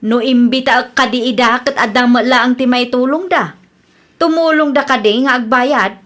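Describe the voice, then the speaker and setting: A self-justifying young Ilocano niece in a radio comedy-drama. Her voice is very high and insistent, with a whiny, protesting manner and a Filipino accent. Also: normal speed, a bit bright. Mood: defensive.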